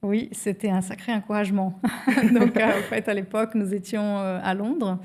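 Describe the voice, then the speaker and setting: Mellow middle-aged woman, native Portuguese speaker. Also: medium pitch, fairly even intonation, normal speed. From Brazil. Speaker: podcast guest, pastor. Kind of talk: personal testimony in an interview.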